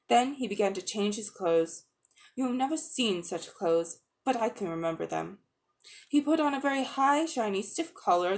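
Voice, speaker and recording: A woman narrating a children's novel as a dramatic, expressive audiobook reading. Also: medium pitch. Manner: expressive, storytelling delivery. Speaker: audiobook reader.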